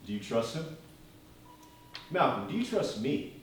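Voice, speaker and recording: A man's voice: on the high side, big pitch swings, measured pace. Man playing a worried, hard-headed bodyguard, very echoey. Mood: pleading, uneasy. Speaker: stage actor. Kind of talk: live one-act stage play acting. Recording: very echoey stage, distant mic, faint noise.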